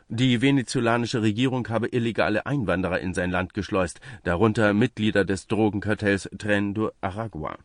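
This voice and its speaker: A man's voice: on the low side, dry recording, studio quality. A man reading out a scripted magazine report as narration. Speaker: reader of a magazine article.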